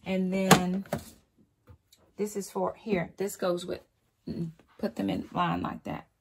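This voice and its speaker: A woman's voice: fairly low pitch, flat delivery, slow pace, heavy background noise. A woman in her forties chatting casually to camera as a home vlogger, upbeat and saying y'all, filmed in a walk-in closet at home.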